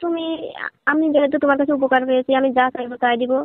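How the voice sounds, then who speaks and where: A woman's voice: sits high, steady tone, very fast, dry recording, lightly acted. A woman playing a flirtatious, lonely married sister-in-law in a staged phone-call drama, coaxing and teasing as she talks. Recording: tinny narrowband phone line.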